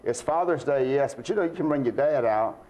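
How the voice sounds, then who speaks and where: A man's voice: medium pitch, expressive, brisk, warm tone, poor audio. Man, forties, mid-range voice, folksy and earnest guest plugging an event in casual talk. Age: 40s. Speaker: guest promoting a wrestling event. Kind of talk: casual chatty promotion.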